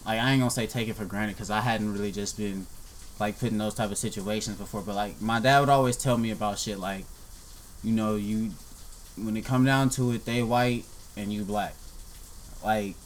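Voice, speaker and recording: A young man in casual conversation, telling a story in a relaxed way with many fillers. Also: on the low side, normal speed, slight background noise. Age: young adult.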